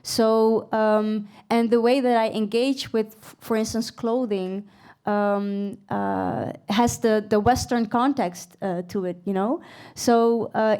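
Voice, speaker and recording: A woman's voice: fairly high pitch, dark tone. A woman raised in the Netherlands, speaking as a panelist in a live stage discussion, thoughtful and hesitant, with many fillers. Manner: reflective, thinks aloud with many fillers and laughs. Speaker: panelist and singer. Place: event venue stage.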